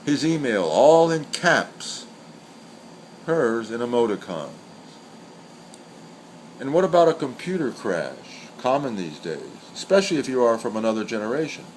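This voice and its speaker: Guy reciting poems and commentary, deliberate and measured, mid-pitched voice, bit of hiss behind him. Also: rough recording. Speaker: poet reading aloud. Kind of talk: scripted literary reading, reciting short poems and commentary. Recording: steady background hiss or hum.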